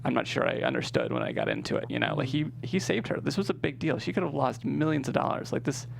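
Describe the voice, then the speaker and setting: A man's voice: medium pitch, sing-song, fast talker, gravelly. A man being interviewed as a screenwriter, talking casually and explaining his process. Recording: faint hum and background noise.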